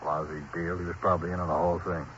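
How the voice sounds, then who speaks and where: A bitter, grumbling crook in a vintage radio crime play, talking tough slang in a lowish voice. The old broadcast sounds dull and lo-fi with a faint hiss underneath. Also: male voice, flat delivery, rapid-fire, a bit bright. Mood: sour. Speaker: arrested suspect. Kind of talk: 1950s radio crime drama acting.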